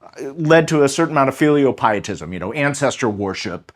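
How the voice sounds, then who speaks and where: Man, history professor, animated pitch, lecturing, chatty with fillers. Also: a little fast. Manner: lively, lecturing, with many fillers and restarts. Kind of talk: conversational explaining.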